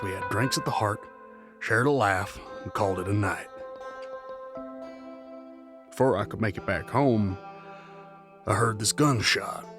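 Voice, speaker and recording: A man playing a grieving, guarded outlaw in a scripted audio drama, speaking in a measured, somber way. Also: lowish voice. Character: grieving male outlaw of a heist crew, guarded. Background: music.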